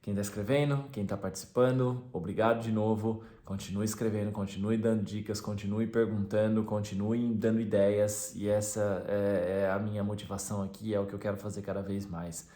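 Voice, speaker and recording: A man's voice: fairly low pitch, flat delivery, fairly quick, few pauses, dark tone. Brazilian man vlogging in an echoey room. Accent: Brazilian Portuguese. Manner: rambling, frequent "né" fillers and restarts. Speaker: teacher and vlogger. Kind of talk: casual vlog monologue.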